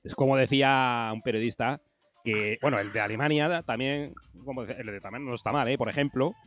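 A man's voice: mid-range voice, brisk, fairly bright tone, dull audio. A man with an accent from Spain, co-hosting football coverage in casual, colloquial, rambling chat. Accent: Spanish from Spain. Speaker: football commentator and co-host.

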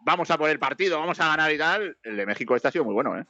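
A man's voice: on the high side, animated, rapid-fire, bright, forceful tone, no echo, dull audio. A man from Spain with a Spanish accent, chatting casually and colloquially as a football commentator, often repeating himself. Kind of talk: casual match chat and live commentary.

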